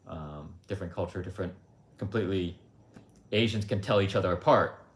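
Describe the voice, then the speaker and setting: Low-voiced blunt man rambling. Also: a little fast. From United States. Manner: rambling, blunt, frequent asides with "like" and "um". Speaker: livestream host. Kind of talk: casual opinionated monologue. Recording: roomy echo.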